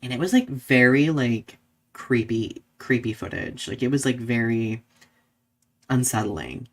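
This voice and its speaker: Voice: mid-range voice, lively intonation, measured pace. A podcast co-host chatting through current events on a live show in a conversational, informal way.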